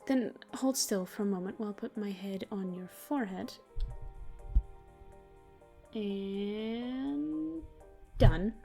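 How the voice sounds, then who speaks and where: Soft, mellow demon comforter voiced by a woman. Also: mid-range voice, lightly acted. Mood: playful, gentle. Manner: soothing, unhurried, intimate, partly whispered. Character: gentle, protective, teasing female sleep paralysis demon comforting a human. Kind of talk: ASMR comfort roleplay.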